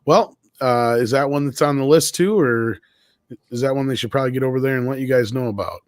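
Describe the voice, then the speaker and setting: A man hosts a casual live stream in a dry-sounding recording. His pitch sits in the middle of the male range, and his talk is informal and conversational. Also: brisk, no room sound. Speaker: host.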